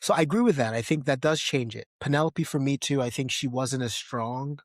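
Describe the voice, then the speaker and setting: A man's voice: medium pitch, a little fast, dry recording, studio quality. A man hosting a podcast, discussing films casually and conversationally, often tagging his points with a questioning "right?". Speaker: podcast host.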